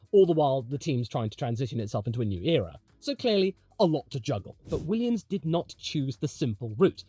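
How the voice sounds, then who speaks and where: A man's voice: a little high, dark tone, no room sound. A man hosting a motorsport video, giving opinionated commentary in a conversational, emphatic way. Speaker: host of a motorsport commentary channel.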